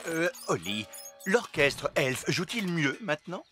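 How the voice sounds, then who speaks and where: A man's voice: on the high side, big pitch swings, unhurried, pushed and bright, soft background music, full character voice. An actor playing a hearty, regal fairy king and father in a French cartoon dub, grand in manner. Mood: curious. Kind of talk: French animated series dubbing.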